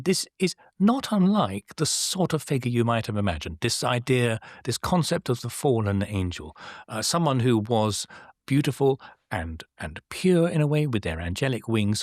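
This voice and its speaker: Measured man explaining art. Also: mid-range voice, no room sound, clean studio sound. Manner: measured, thoughtful explainer with fillers. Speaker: podcast co-host. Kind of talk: casual art history chat.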